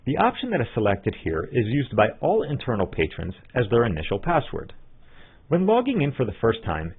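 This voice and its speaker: A man giving scripted step-by-step tutorial narration as a software trainer, in an instructional manner. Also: lowish voice, breathy edge. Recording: muffled narrow phone-like line.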